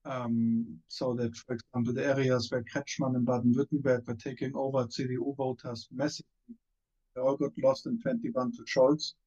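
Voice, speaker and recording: German-accented male panelist on a muffled call, mellow tone, halting delivery, plenty of ums. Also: medium pitch, level tone. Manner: hesitant, many fillers and restarts. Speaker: panelist, former member of parliament. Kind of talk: online panel discussion. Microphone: video call.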